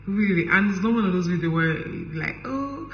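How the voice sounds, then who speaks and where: A man's voice: sits high, expressive, moderate pace, a little hiss or hum. A man with a Nigerian accent, talking casually to camera and explaining at length. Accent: Nigerian English. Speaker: vlogger. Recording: echoey, dull-sounding room.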